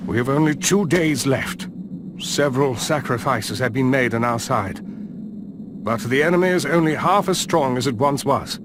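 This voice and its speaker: A formal military commander , acted by a man in a fantasy game cutscene. His mid-pitched voice is measured and earnest. The audio has clear background noise. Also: lightly acted. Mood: grave, resolute. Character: grave, formal commander planning a fantasy war. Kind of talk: dramatic video game cutscene acting.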